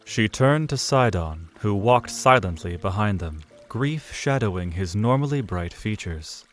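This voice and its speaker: A man with a lowish voice narrates an audio drama in a measured storytelling style. Faint music plays beneath his narration. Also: lively intonation. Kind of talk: scripted narration.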